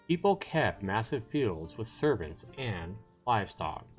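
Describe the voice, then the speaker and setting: A man's voice: lowish voice, very animated, unhurried, soft background music. A man livestreaming a visual novel, reading it aloud and adding chatty commentary as he thinks aloud. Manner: chatty, thinks aloud between read passages. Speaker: livestream host.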